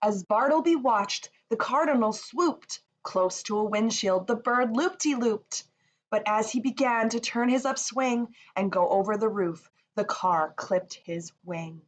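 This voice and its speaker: A woman reading a rhyming children's picture book aloud in an expressive storytelling manner. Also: a little high, unhurried. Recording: faint background noise.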